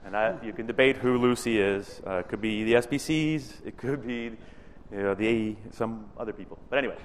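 Loud-voiced man presenting in a hall. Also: fairly low pitch, fairly quick, slight background noise. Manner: loud voice, conversational with many fillers. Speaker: presenter at a technical standards meeting. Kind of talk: presenting slides, then open floor discussion. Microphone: microphone in a meeting room.

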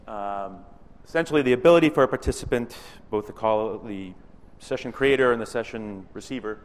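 A man with a loud voice presenting slides at a technical meeting, speaking casually with fillers. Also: medium pitch, fairly quick, in a large hall. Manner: loud voice, conversational with many fillers. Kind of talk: presenting slides, then open floor discussion. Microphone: microphone in a meeting room.

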